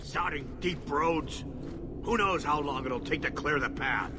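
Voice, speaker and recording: An actor playing an impatient, crude and bombastic dwarf expedition leader in a video game, blustering and barking orders. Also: male voice, on the high side, measured pace, background noise, lightly acted. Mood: angry. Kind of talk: video game cutscene acting. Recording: loud music behind dialogue. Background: loud music.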